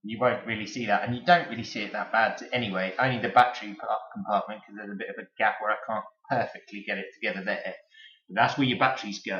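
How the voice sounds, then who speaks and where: Male toy reviewer, lowish voice, chatty and enthusiastic, casual and rambling delivery; roomy, slightly muffled home-recorded sound. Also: a bit bright. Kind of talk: casual hands-on product review. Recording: roomy echo, dull lo-fi sound.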